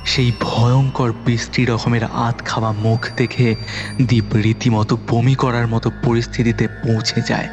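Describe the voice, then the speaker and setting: A man's voice: medium pitch, little pitch movement, measured pace. A man narrating a horror audio story in the first person, with a suspenseful, dramatic delivery. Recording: voice over background music.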